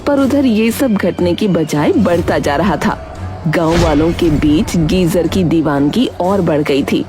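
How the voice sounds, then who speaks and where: Lively woman storyteller over music. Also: fairly low pitch, a little slow. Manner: dramatic storytelling. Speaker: story narrator. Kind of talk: scripted narration of a Hindi cartoon moral story. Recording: music behind the voice.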